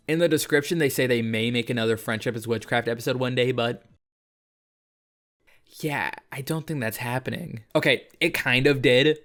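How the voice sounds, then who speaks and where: A man's voice: mid-range voice, fairly bright tone. A man hosting a casual video essay, talking in a sardonic, joking way and swearing freely.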